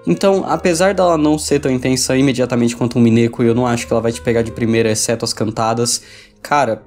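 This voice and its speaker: A man's voice: medium pitch, fairly even intonation, fast, darkish tone. A man with a Brazilian accent reading a scripted review as a video essay narrator. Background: background music.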